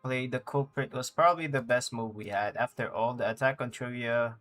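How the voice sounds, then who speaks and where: A man's voice: medium pitch, brisk, fairly bright tone, soft background music. A man livestreaming a game with casual, joking commentary full of slang, sometimes reading dialogue out. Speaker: streamer.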